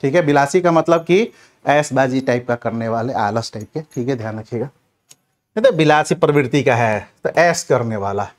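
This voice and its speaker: A man's voice: medium pitch, lively intonation, moderate pace. A man giving a question-and-answer revision lecture as an exam coaching teacher, instructive and often repeating check phrases.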